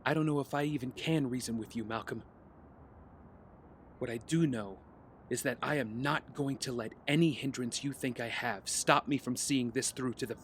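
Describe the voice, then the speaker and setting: An actor playing a righteous, defiant young vigilante hero in an audio drama, earnest, intense and confrontational. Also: male voice, medium pitch, a little hiss or hum, lightly acted.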